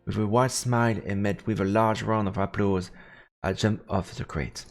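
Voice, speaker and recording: A man's voice: lowish voice, steady tone, normal speed, a little hiss or hum. A man reading a visual novel aloud as dramatic narration on a game stream. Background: game music.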